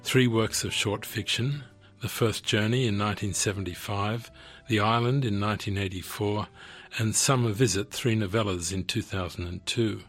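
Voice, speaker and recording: A man interviewing a guest, reading an introduction and asking measured, prompting questions. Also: on the low side, steady tone, fairly bright tone, faint music behind.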